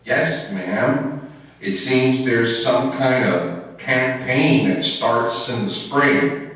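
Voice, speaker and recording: A man acting a slow-witted, good-natured high school student with halting, ungrammatical speech in a radio comedy. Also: a bit bright. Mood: dopey, earnest. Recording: very echoey, distant room recording.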